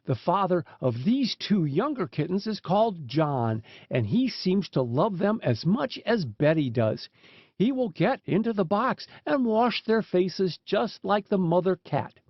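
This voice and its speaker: High-pitched, animated male storybook narrator. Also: no echo. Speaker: audiobook narrator. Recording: dull, lo-fi sound.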